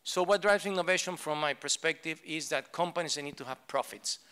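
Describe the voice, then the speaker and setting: A man speaking on a conference panel, blunt and halting, with many fillers and restarts. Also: on the high side, pushed and bright, smooth. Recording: live event room.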